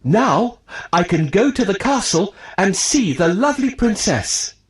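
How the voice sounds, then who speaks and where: A man's voice: high-pitched, very animated, a little slow. A man voicing an earnest, determined young prince in a children's storybook, with careful, declarative enunciation. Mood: hopeful. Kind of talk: scripted children's storybook acting.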